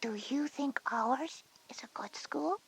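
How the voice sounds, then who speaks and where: A woman voicing a curious little kindergarten girl with a breathy, whispery voice in a children's educational audio drama. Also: high-pitched, slow pace, exaggerated voice. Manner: inquisitive, childlike.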